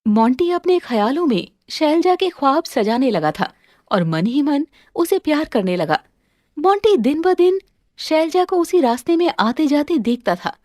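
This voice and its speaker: A woman's voice: on the high side, a little mellow, no echo, studio quality. A woman reading a scripted moral story as its narrator, with music under her voice.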